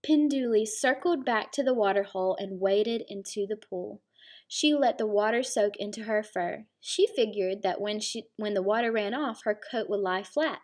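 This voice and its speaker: A woman teacher reading a storybook aloud to young children, pausing to explain things simply. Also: mid-range voice.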